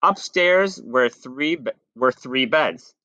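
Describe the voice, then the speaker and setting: A man reading a picture book aloud to children, expressive and playful, with dry asides. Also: medium pitch, takes it slowly, dry recording, lo-fi sound. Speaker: storyteller.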